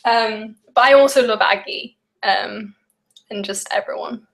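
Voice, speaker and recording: A woman's voice: a little high, a little slow, gravelly, a bit bright, poor audio. A young woman from the English Midlands with a Midlands accent, chatting casually and rambling on a live stream while baking, with lots of fillers and giggles. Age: young adult. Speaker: vlogger.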